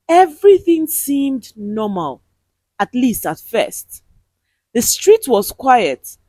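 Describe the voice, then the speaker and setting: A woman's voice: fairly high pitch, a little mellow, no echo. A woman narrating a scripted story in a dramatic, suspenseful and emotive way.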